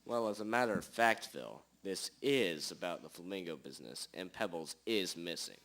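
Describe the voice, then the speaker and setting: A man's voice: expressive, moderate pace, fairly bright tone. A young-sounding amateur actor, a man playing an impatient, self-important private detective with formal, theatrical delivery in a radio drama. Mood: businesslike. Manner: formal, theatrical interrogating, condescending to sidekick. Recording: low-quality amateur recording.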